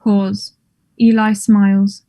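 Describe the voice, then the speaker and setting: Woman, mellow, mid-pitched, scripted narration, stage directions. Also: flat delivery, takes it slowly.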